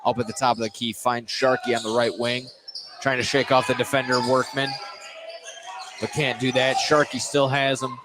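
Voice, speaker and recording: A man's voice: medium pitch, little pitch movement, moderate pace, over loud music. A man calling basketball play-by-play live, with a playful manner and frequent self-corrections. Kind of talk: live sports commentary. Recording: loud gym noise behind commentary. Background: gym noise, bouncing basketball.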